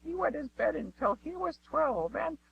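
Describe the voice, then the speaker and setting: Very high, creaky old granny. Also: female voice, expressive, moderate pace, exaggerated voice. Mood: rambling, befuddled. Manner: rambling, forgetful. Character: frail, rambling old granny giving a testimonial. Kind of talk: comic parody advert testimonial. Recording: muffled, distant, noisy radio-style recording.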